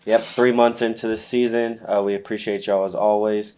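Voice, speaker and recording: A stuffy-nosed man with a lowish voice and little rise or fall in his pitch. He runs a laid-back fantasy football chat, drifting through fillers and easy chuckles. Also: normal speed, poor audio. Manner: relaxed, lots of fillers and light laughs. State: stuffy from allergies. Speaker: podcast co-host.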